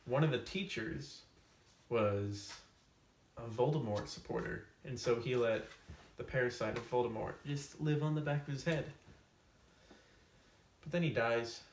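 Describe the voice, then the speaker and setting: A man talks to camera as the host of a comic storytime, with a casual, tongue-in-cheek delivery and deadpan asides. His voice sits at a middle pitch. It is picked up from a distance in an echoey room, with faint noise underneath. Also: a little slow, pauses often. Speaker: host of a comedic book summary video. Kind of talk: mock storytime skit to camera, casual book summaries. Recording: roomy echo, off-mic, faint hiss.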